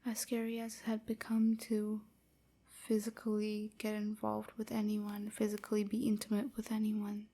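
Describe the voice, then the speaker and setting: A woman playing a gentle, wounded vampire slave in an intimate ASMR roleplay, whispering in a breathy, halting, submissive way. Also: medium pitch, monotone. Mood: tender, grateful. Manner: slow, halting, hushed confiding.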